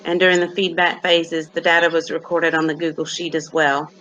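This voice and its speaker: A young woman from Alabama, a student giving a class presentation with a halting delivery and many "um" pauses. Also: sits low, monotone, fairly bright tone, dull audio, slight background noise. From Athens, Alabama, USA. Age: young adult.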